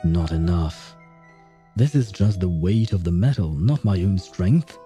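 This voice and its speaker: Man voicing a low, grumpy, defiant witch. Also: expressive, unhurried, dark tone, soft background music. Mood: strained. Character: grumpy, defiant reclusive witch woman with prosthetic leg.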